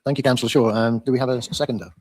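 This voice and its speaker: A man's voice: mid-range voice, fairly even intonation, racing, a little mellow. A man chairing a formal meeting, politely and procedurally, with some hesitancy. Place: council chamber.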